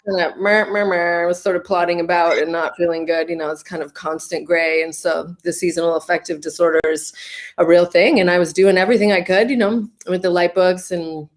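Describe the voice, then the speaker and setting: A woman's voice: fairly low pitch, fairly even intonation, a little fast. A Canadian woman in her thirties, guest in a casual interview, easygoing and laughing often.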